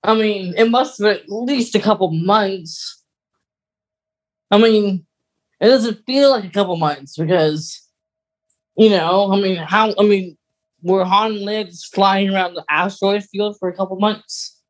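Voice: medium pitch, unhurried. Geeky podcast co-host chatting casually. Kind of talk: casual fan chat about film lore.

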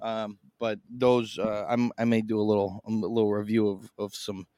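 A man's voice: fairly low pitch, level tone, moderate pace, dark tone, no echo, studio quality. A man co-hosting a casual fantasy football podcast, loose and chatty, with frequent fillers and laughs. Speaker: podcast co-host. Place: in Boston, eating a meal while recording.

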